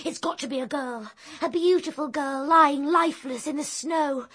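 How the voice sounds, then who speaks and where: Very high-pitched excitable schoolgirl. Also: normal speed. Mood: thrilled. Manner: breathless, gushing. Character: excitable English schoolgirl, eager and theatrical. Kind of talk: radio drama dialogue.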